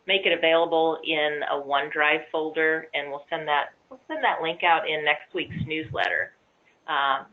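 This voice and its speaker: A woman's voice: low-pitched, animated, normal speed, slight background noise. A woman co-presenting on a conference call, giving informal explanations. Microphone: conference call line. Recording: muffled narrow-band phone line.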